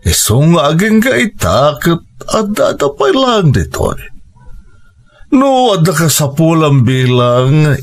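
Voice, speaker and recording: An actor playing a wealthy married man who indulges his lover in an audio drama, speaking with a Filipino accent and measured, dramatic delivery. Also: mid-range voice. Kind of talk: Ilocano audio drama acting.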